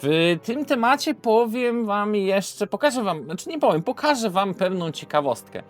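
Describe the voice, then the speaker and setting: A man's voice: high voice, very animated, moderate pace, faint music behind. A man hosting an unscripted board game video, hesitant and often correcting himself. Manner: hesitant, self-correcting, throat-clearing. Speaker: board game reviewer and host.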